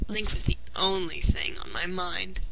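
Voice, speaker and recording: A woman's voice: fairly low pitch, fairly even intonation, a little slow, vocal fry. A woman reading a fan fiction story aloud as narrator, in a dramatized way. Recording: noisy amateur home recording.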